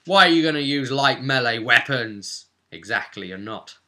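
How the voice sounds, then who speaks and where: A man's voice: medium pitch, animated, normal speed, bright, forceful tone. A man presenting an explanatory guide to a tabletop game, conversational but leaning hard on key words.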